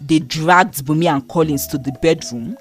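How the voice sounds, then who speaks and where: A woman narrating a moral folktale in a steady, expressive storytelling manner. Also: sits low, moderate pace, soft background music.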